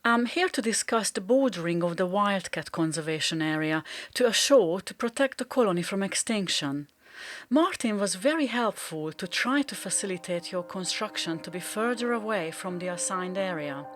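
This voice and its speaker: A woman playing an earnest female wildlife conservationist in a radio drama, speaking in a measured, formal way. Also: mid-range voice, expressive, natural voice. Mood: formal, businesslike. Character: Pat, European wildlife conservationist, earnest, confused by memory loss.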